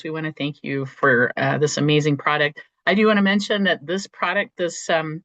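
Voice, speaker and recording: A meeting host with a cold and a croaky voice, giving enthusiastic remarks and halting often with fillers over a video call. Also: mid-range voice, a bit bright, no room sound, highs cut off. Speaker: meeting host from a state forestry agency.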